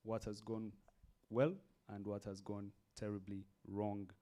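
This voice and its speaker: A man's voice: on the low side, flat delivery, measured pace, dark tone. A man moderating a workshop panel, thanking the speakers and putting questions to them with a few fillers.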